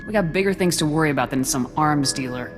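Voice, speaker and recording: An actor playing a confident, loyal military squad commander in a video game, speaking in a brisk, firm manner. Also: male voice, a little high, long reverb tail, music in the background, natural voice. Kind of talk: video game cutscene dialogue.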